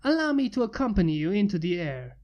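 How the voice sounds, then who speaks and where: A woman voicing a calm, formal male inventor who speaks in precise, stiff phrases. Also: medium pitch, fast, lo-fi sound, lightly acted.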